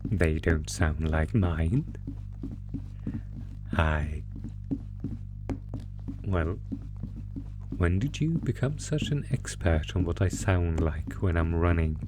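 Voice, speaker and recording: A man voicing a sadistic, theatrical monster who taunts a prisoner in a horror roleplay, talking with drawn-out pauses. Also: low voice, lively intonation, music in the background. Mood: rattled, frustrated. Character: sadistic, gloating male monster stalking its prisoner through a maze. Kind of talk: ASMR horror roleplay audio drama.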